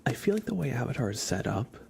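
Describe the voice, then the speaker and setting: Male ASMR streamer, casual and hushed, dips into whispers, lots of vocal fry, slight background noise. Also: medium pitch, sing-song, fast. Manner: soft-spoken, often dropping into a whisper. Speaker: livestream host. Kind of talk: ASMR, casual rambling chat with live viewers.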